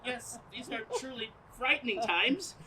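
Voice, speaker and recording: A woman's voice: on the high side, a little slow, crowd noise, lightly acted. A woman playing an earnest, flustered radio news reporter in a comedic stage radio play, recorded outdoors live. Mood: earnest, probing. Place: outdoors, parking lot stage.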